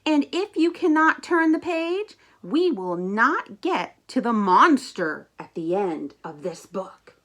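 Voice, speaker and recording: A woman's voice: on the high side, big pitch swings, slow pace, hardly any pauses, a bit bright, full character voice. Woman putting on an anxious, melodramatic furry monster. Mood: determined. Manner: exasperated, emphatic, theatrical delivery. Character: anxious, dramatic, pleading furry male monster Grover.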